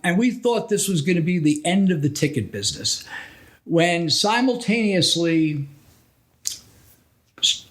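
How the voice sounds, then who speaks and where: A middle-aged American man reminiscing casually as a podcast guest, telling his stories in a halting, conversational way. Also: a little high, fairly bright tone. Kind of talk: casual reminiscing interview talk.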